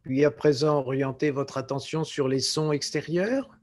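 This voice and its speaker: A man guiding a relaxation meditation as a yoga nidra teacher, speaking in a calm, measured way with short phrases and pauses. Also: fairly high pitch. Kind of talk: guided relaxation meditation instruction.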